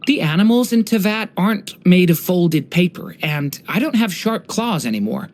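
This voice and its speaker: Adult actor as young dragon boy, video game character, earnest, soft-spoken, curious, courteous, hesitant. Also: mid-range voice, lively intonation, normal speed, natural voice. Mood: flustered, wistful. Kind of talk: scripted game character voice lines.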